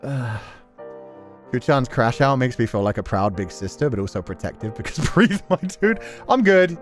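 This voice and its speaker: A man's voice: mid-range voice, expressive, moderate pace. A man streams casual, rambling commentary in an informal, conversational way. His delivery is emphatic and peppered with slang as he addresses his viewers. Music plays behind his voice. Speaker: streamer reacting to a story game. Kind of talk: casual rambling commentary, reading and answering chat.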